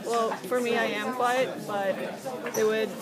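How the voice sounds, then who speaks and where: Higher-voiced young woman amid loud crowd. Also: steady tone, a little slow. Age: young adult. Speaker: student interviewee. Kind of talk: casual spontaneous interview answer. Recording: loud crowd in public indoor space. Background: busy crowd chatter.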